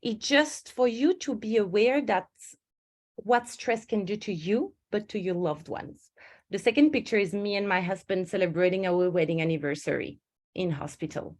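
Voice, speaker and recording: An earnest woman leads an online stress-management webinar, sharing her story in a personal way. Her voice sits in an ordinary middle pitch, and she often stops to rephrase mid-sentence. She is heard through a home computer mic on a video call. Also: darkish tone. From Switzerland. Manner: earnest and personal, with frequent self-corrections.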